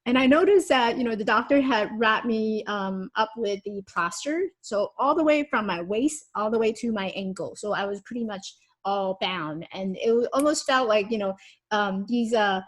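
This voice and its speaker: A woman's voice: medium pitch, moderate pace, poor audio. A woman from Taiwan, a confidence coach, telling a personal story as a podcast guest in a casual, conversational way with frequent "like" and "you know".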